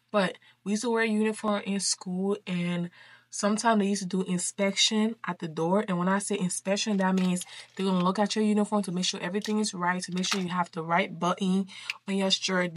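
A woman's voice: mid-range voice, dry recording. Chatty young woman from Liberia, dry sound. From Liberia, West Africa. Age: young adult. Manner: informal, slangy, emphatic repetition. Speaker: vlogger. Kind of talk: casual chatty storytime while doing makeup. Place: small furnished bedroom, indoors.

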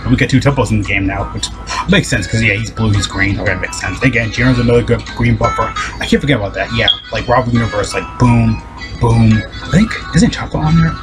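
Fast-talking deep-voiced man. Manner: rapid, rambling talk with many fillers and restarts. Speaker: gaming video host. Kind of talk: casual game news commentary.

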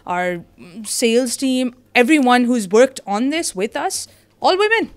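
A woman's voice: a little high, lively intonation, unhurried. Upbeat young woman podcast co-host. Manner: upbeat, enthusiastic, chatty. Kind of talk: casual chat. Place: small studio with acoustic foam walls. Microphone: studio mic on boom arm.

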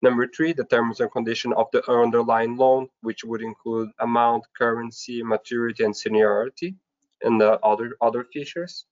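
A man presents slides in a formal, scripted way, setting out points one step at a time. His pitch sits in the middle range. The sound is dull and lo-fi, like an online webinar call. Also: fairly even intonation, moderate pace.

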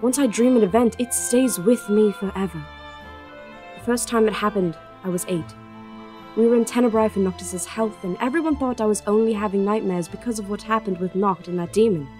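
A woman's voice: medium pitch, brisk, a little mellow, faint music behind, natural voice. A young woman playing an anxious, sorrowful princess in an audio drama, earnest and melancholy. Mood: melancholy, haunted. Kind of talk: audio drama acting and first-person narration.

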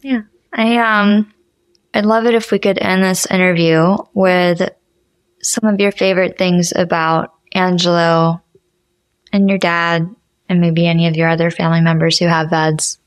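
A woman's voice: lowish voice, flat delivery, unhurried, clean tone, dry recording. A woman hosting a podcast, asking questions in a conversational interview style.

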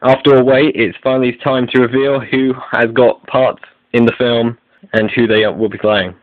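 A man's voice: medium pitch, steady tone, normal speed, no echo. A man hosting an online video update, reading out announcements in a scripted style. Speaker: video creator and host. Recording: phone-like, narrow lo-fi sound.

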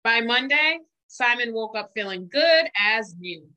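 A woman's voice: on the high side, expressive, measured pace, sharp tone. A middle-aged American woman reading a children's picture book aloud as a storytime reader. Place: small room indoors. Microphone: webcam video call.

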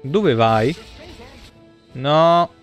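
Highish-voiced male streamer. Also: drawn-out words. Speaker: video game streamer. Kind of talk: casual live gameplay commentary. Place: small indoor room at a desk. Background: game music.

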